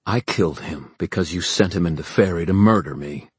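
A man performs a young warrior of righteous conviction in a fantasy audiobook. He speaks out emphatically and accusingly, a little raised in pitch, in a dry recording. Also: brisk, slightly rough, no room sound. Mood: defiant accusation. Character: bold, righteous young male warrior accusing his enemy.

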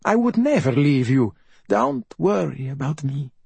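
A man's voice: a little high, very animated, a little slow, vocal fry, darkish tone, slightly rough, no room sound, pitched above the performer's own voice, full character voice. A man playing a dutiful young Italian son, earnest and patient but exasperated, in an audiobook. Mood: reassuring.

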